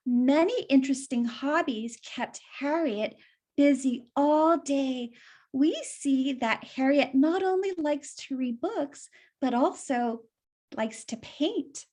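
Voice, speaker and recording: A woman's voice: high-pitched, takes it slowly, warm tone. A woman reading a story aloud for children, explaining it simply and putting questions to young listeners.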